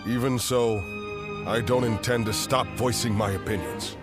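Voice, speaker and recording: An actor playing an outspoken, principled veteran general of ancient China in a video game cutscene, speaking earnestly and deliberately. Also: male voice, mid-range voice, fairly even intonation, lightly acted. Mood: solemn. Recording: orchestral music with fiddle behind the voice.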